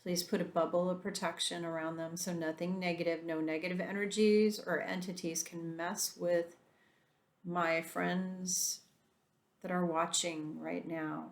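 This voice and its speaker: A woman leading a guided meditation in a softened, soothing voice, drawing out slow breathing instructions. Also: fairly low pitch, little pitch movement, reverberant.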